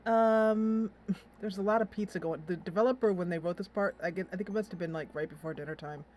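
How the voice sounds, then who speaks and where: A woman's voice: medium pitch, brisk, darkish tone. A woman hosting a casual let's play, thinking aloud in a playful, giggly way as she comments on the game. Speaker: host of a gaming channel.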